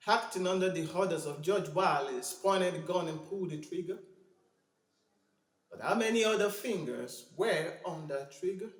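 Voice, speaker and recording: Man performing a civil rights preacher, high voice, grieving yet fiery oratory, dramatic monologue, roomy echo. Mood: grave, accusing. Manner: rhetorical, building from hushed solemnity to fiery cries. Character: male civil rights preacher delivering an impassioned, grieving eulogy.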